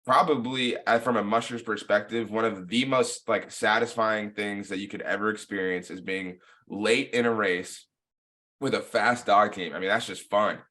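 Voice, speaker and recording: Casual male podcast host, low-pitched, bright tone. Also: fairly even intonation, normal speed. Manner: laid-back, lots of "like" and "you know" fillers. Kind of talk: casual chat.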